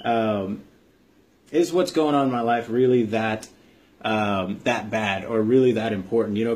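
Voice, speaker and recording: A man's voice: on the low side, darkish tone. A man hosting a vlog, giving motivational advice in an informal, earnest, explanatory way. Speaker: vlog host, army veteran. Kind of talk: motivational advice talk to camera.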